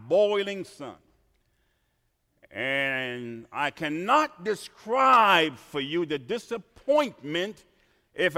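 A man from Trinidad preaching a sermon in a church, swinging from quiet asides to shouted emphasis. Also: high-pitched, creaky. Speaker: pastor. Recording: reverberant church hall.

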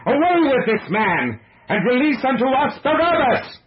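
A man's voice: extremely high pitch, sing-song, a little hiss or hum, voice pushed up, full character voice. Man acting a shrieking, enraged mob member, muffled audio. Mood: frenzied. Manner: bellowing, drawn-out shouts. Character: enraged bloodthirsty mob of men howling for execution. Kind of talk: dramatized religious story reading.

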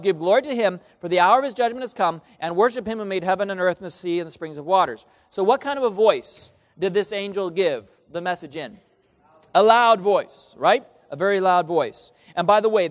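A man preaching a sermon, reading scripture aloud and asking the congregation questions they answer back. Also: high voice. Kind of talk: sermon with call and response. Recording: long reverb of a large hall.

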